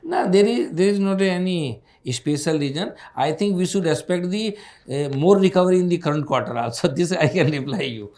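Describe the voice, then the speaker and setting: Higher-pitched Indian man, emphatic and repetitive. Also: moderate pace, darkish tone, reverberant. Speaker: bank executive. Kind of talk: answering analysts on an earnings call.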